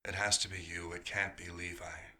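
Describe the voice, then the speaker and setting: A man's voice: sits low, level tone, moderate pace, sharp tone. A man playing a bewildered, hungry vampire doctor turned human, speaking formally and hesitantly in an audio roleplay. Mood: earnest, polite.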